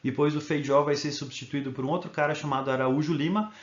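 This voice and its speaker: A man's voice: fairly high pitch, steady tone, quick pace, reverberant, highs cut off. A male history teacher giving a recorded video lesson, explaining in an informal way and often checking in with tag questions.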